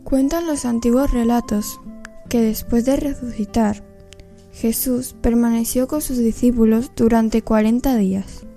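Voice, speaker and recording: A woman narrates a scripted story in a solemn, reflective way. Her voice is somewhat high yet extremely soft and dark in tone, over faint music. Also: fairly even intonation, measured pace.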